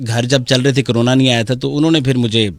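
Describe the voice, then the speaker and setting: A man's voice: mid-range voice, steady tone, over music. A man hosting a live radio show, chatting casually and playfully as he takes calls and messages. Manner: playful, chatty, breaks into bits of song. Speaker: radio host taking calls and reading messages. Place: radio studio.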